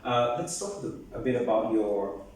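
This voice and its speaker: Low-voiced male host in echoey room. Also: lively intonation, measured pace, a little mellow, slight background noise. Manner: brief affirmations, announcer-like segment links. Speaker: interviewer, show host. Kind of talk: hosted interview segment. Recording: echoey room, mic far off, music behind.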